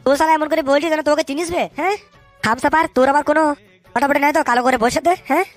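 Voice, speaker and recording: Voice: high-pitched, fairly even intonation, very fast, faint music behind, close to an everyday voice. An actor voicing a bullying, suspicious cartoon political boss, scolding and cursing in street slang. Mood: angry, suspicious. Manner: scolding, hectoring, repeats tag questions, curses constantly.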